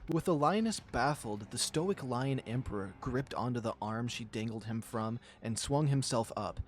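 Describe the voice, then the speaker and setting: Mid-pitched man narrating a story. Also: expressive, moderate pace. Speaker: visual novel reader and channel host. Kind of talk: dramatic storytelling narration with casual commentary.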